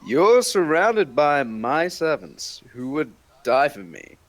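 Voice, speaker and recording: A man's voice: on the high side, sing-song, takes it slowly, no room sound. A man playing an ancient, regal fairy king in a table read, imperious and formal. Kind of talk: scripted drama table read.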